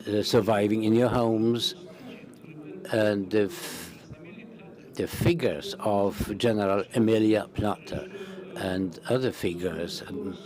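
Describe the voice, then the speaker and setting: A man interpreting a parliamentary conference into English, halting, with many fillers and restarts. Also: on the low side, steady tone, crowd noise.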